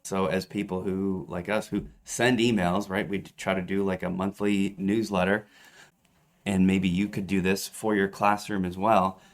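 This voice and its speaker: A man co-hosting a podcast as an edtech coach, explaining steps with eager enthusiasm in casual chat. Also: deep voice.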